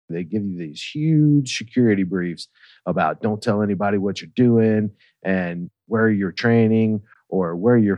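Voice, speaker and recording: A man's voice: lowish voice, dry recording, muffled recording. A man telling a comedic personal story in a casual, sweary, conversational way, often checking in with his listeners.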